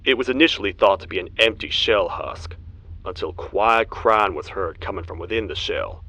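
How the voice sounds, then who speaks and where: A man voicing a stern, weary cartoon toad sheriff in a video game, with a grave, formal, deliberate delivery. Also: low voice, fairly bright tone, low-quality recording. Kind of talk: video game character acting, log narration.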